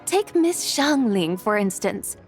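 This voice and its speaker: A woman's voice: mid-range voice, sing-song, takes it slowly, faint music behind. A woman playing a lofty, playful immortal in a video game, amused by mortals and speaking in grand, archaic phrases. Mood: encouraging, grand.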